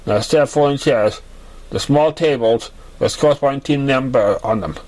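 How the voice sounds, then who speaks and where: A man narrating scripted fiction as an amateur reader, halting and sometimes stumbling over his words. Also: mid-range voice, a little mellow.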